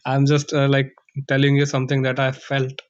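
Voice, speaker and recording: Flat-voiced man on a muffled call. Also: mid-range voice, no room sound. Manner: thoughtful, pauses to find words. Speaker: conversation partner. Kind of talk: casual conversation practice.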